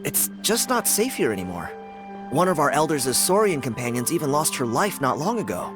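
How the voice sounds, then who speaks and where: A friendly, boastful young dancer from a jungle tribe in a video game. He talks in an easygoing, chatty way, with a slightly high, lively voice. Loud game music plays under him. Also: moderate pace.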